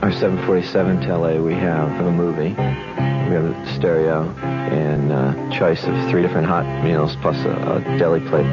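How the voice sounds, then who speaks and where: A man's voice: a bass voice, fairly even intonation, normal speed, lo-fi sound. An American man, an airline steward, giving a casual testimonial in a radio ad. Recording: band-limited old radio broadcast. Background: loud music.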